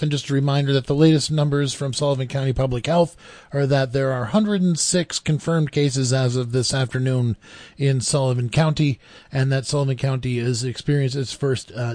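Male community station announcer, informal and halting, medium register, dry acoustic. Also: mid-range voice, a little mellow, no echo. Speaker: local radio station announcer.

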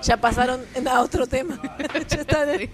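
A woman with an Argentine accent hosting a casual community interview, chatty and asking quick follow-up questions. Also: high voice. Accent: Argentine Spanish. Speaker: host. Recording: crowd chatter in the room. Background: crowd chatter.

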